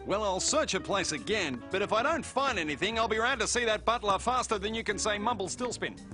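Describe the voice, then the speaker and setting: Bumbling cartoon police detective, played by an actor: mid-pitched, very animated, blunt, cocky, slow on the uptake. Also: over music, natural voice. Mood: blustering. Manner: muddles words, blunt.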